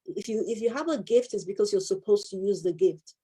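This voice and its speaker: Woman in her fifties, life coach, earnest motivational talk, warm mellow tone, repeats key phrases. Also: mid-range voice, animated, a little fast, breathy edge. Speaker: life coach and former solicitor.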